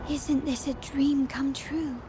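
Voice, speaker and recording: Mocking silky villainess for a video game, slightly high voice, drags words out slow, theatrical. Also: female voice, level tone, noisy background. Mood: taunting, coaxing. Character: taunting, silky villainess born from a heroine's consciousness. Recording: game music behind the voice. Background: game music.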